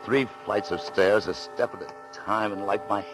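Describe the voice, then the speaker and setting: A man's voice: lowish voice, normal speed, natural voice. An actor playing a desperate, wounded man on the run, telling his story intensely in a 1940s radio thriller. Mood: pained, weary. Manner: intense, hard-boiled. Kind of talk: 1940s radio thriller acting, narration and dialogue. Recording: remastered 1940s radio, music behind.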